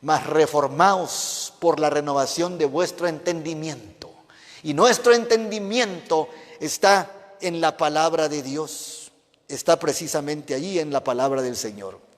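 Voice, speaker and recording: A man from Mexico, a pastor preaching a fervent sermon and putting questions to the congregation. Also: on the high side. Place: church. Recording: reverberant church hall.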